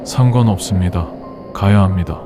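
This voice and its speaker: A man's voice: sits low, moderate pace, music in the background, lightly acted. A man playing a resolute thirty-year-old shaman who speaks in terse, formal phrases. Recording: noisy ambience behind the voice.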